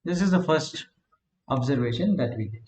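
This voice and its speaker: A man lecturing a class who often checks in with "right?", repeats words and dictates notes slowly. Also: medium pitch, animated, a little mellow. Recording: echoey classroom, dull lo-fi sound.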